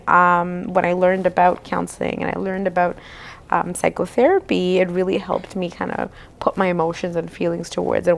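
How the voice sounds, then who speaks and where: A woman's voice: medium pitch, vocal fry. Encouraging woman counsellor, ending thoughts with "right?". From Canada. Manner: warm encouraging listener, frequent "right?" tags. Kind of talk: casual conversation.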